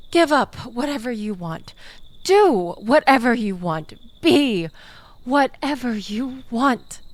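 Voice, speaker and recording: A woman playing a manipulative, tipsy, pleading ex-lover in an intimate audio roleplay, halting and dramatic with sighs and breathy drops toward a whisper. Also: high-pitched, heavily acted. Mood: pleading, coaxing.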